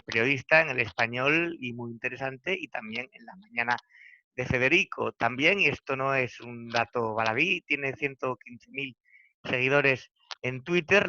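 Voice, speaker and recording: Asturian guy hosting a chat, bright voice, dry dull lo-fi sound, lots of ehs. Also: mid-range voice, slight background noise. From Asturias, Spain. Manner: conversational, with hesitant fillers. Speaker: host. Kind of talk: casual conversational interview.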